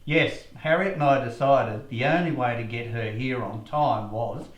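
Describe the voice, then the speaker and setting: A man's voice: mid-range voice, a little slow, lightly acted. A man playing a sceptical, plain-spoken radio actor in a comedy drama, matter-of-fact and questioning. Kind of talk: amateur-style comedy drama dialogue. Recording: echoey room.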